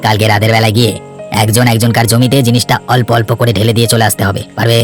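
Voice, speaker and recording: Fast, sly scheming trader, acted by a man. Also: on the low side, level tone, faint music behind, close to an everyday voice. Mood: scheming, coaxing. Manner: sly, coaxing, self-assured. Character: scheming, persuasive city trader, a villain. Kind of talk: Bengali cartoon fairy-tale dialogue.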